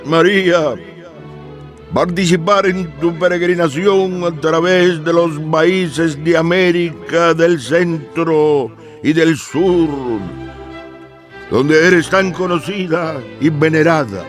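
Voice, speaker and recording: A man playing a pope in a radio drama, praying solemnly and deliberately. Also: a little high, soft background music. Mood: reverent, solemn. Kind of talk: devotional radio drama prayer.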